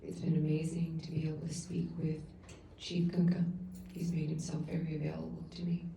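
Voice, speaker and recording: Middle-aged woman, deep flat voice, earnest halting council speech, echoey far-off chamber. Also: creaky, a little hiss or hum. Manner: loud voice. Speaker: public commenter at a council meeting. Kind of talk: public comment speech. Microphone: room sound system, picked up from afar.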